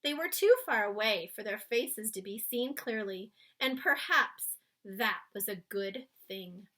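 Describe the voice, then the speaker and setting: A woman's voice: mid-range voice, expressive, measured pace, fairly bright tone. A woman narrating a children's storybook as a read-aloud. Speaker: storybook reader.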